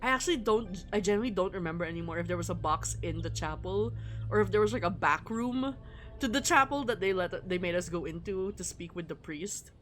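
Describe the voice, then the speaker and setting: A woman's voice: lowish voice, brisk. A woman livestreaming a visual novel, chatting casually in a wry, rambling way and reading game text aloud. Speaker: streamer playing a visual novel. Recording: music behind the voice. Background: music.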